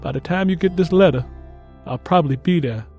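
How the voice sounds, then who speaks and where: A man voices a young country soldier writing home in an audiobook story. He is earnest and plain-spoken, with rural Southern phrasing and a fairly high voice over faint music. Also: a little fast, muffled recording, close to an everyday voice. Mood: earnest, reflective. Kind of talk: first-person letter read in audiobook.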